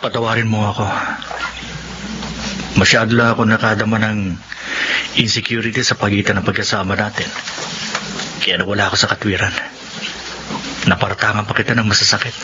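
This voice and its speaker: An actor playing a remorseful, insecure young husband in a radio drama, speaking apologetically. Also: male voice, lowish voice, little pitch movement, a little fast, long pauses, background noise, lightly acted. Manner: apologetic, earnest talk. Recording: muffled radio drama with music bed.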